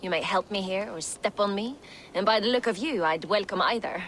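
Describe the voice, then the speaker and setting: A woman's voice: mid-range voice, a little fast, fairly bright tone, slight background noise, natural voice. A woman playing a bold, exuberant female Viking warrior in a video game, with a flirtatious manner. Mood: playful. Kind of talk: dramatic video game acting.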